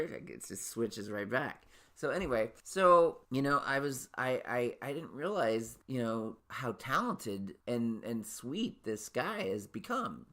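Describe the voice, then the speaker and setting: A man in his late thirties hosting his podcast in a casual solo monologue. His voice sits naturally high, and he rambles with lots of restarts and fillers. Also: fairly bright tone. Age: late 30s. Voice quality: naturally high voice he can drop lower. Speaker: podcast host.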